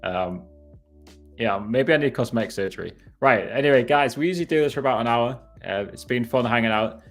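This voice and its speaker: A man's voice: mid-range voice, a little fast, soft background music. A man in his thirties with a northeast English accent, hosting a casual live question-and-answer stream and rambling with frequent laughs and self-corrections. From northeast England. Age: 30s. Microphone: headphones on.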